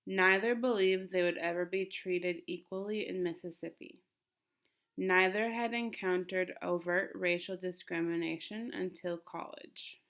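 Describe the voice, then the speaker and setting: A woman's voice: lowish voice, steady tone, measured pace, fairly bright tone. A woman reading questions and answer choices aloud as a teacher.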